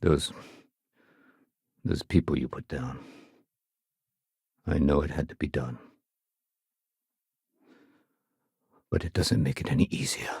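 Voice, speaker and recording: Husky, low-voiced dutiful security sergeant. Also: male voice, lively intonation, moderate pace, no room sound, studio quality. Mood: shaken, somber. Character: male security sergeant, dutiful, measured, fair-minded. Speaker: game voice actor. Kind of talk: video game dialogue acting.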